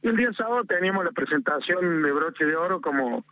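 A man's voice: fairly high pitch, expressive, quick pace, pushed and bright. A man with an Argentine accent, a mayor calling in to a talk show, making an insistent, aggrieved complaint and repeating points for emphasis, heard over a phone line. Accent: Argentine Spanish. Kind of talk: phone interview.

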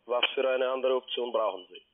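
A man making a polite phone sales pitch in non-native German with grammar slips, as a call center agent. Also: a little fast. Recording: band-limited telephone line.

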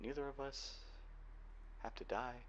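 A young man playing a guarded, protective cyberpunk hacker boyfriend in an ASMR roleplay, speaking earnestly and haltingly. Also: medium pitch, fairly even intonation, close to an everyday voice. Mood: soft, reassuring. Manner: earnest, halting delivery with sighs. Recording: dull lo-fi home recording, faint noise.

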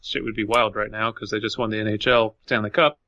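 A man's voice: on the low side, little pitch movement, fast talker, pushed and bright, no echo, lo-fi sound. A man co-hosting a podcast, trading casual comic banter. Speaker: podcast co-host.

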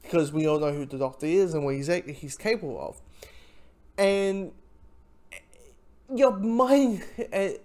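A man giving an informal spoken review, talking in a rambling, halting way with frequent asides. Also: high-pitched, darkish tone. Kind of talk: informal audio drama review commentary.

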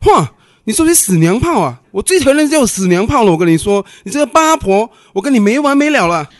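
A man's voice: very high voice, very animated, fast, dry recording. A man playing a camp, sassy best friend, dry close sound. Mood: outraged, bitchy. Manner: effeminate, theatrical. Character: camp, sassy young male best friend, fiercely loyal. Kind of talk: radio drama acting.

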